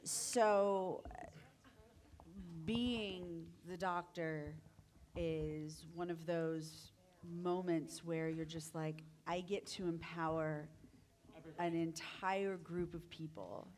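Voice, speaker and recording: A woman's voice: on the low side, steady tone, drawn-out words, a little mellow. A woman speaking casually as a panelist to a convention audience. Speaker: convention panelist from a radio drama team. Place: convention meeting room.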